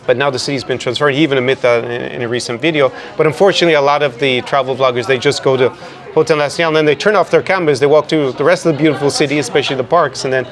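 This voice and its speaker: A man hosting a casual podcast interview, chatty and quick with frequent restarts, recorded outdoors in a city. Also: mid-range voice, fairly even intonation. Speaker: podcast host.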